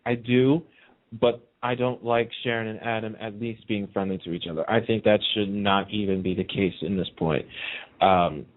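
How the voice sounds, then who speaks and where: A man's voice: on the low side, steady tone, normal speed. A man chatting casually and emphatically, giving his opinions as a participant on a radio show. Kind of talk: casual chat about TV soap storylines. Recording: muffled narrow phone-like line.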